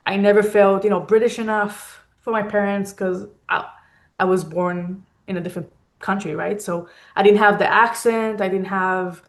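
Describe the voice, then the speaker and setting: A woman from Israel talks as a guest in a reflective interview. Her voice sits at a middle pitch, and she feels her way through sentences haltingly, searching for the right words. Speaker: interview guest, fine art photographer.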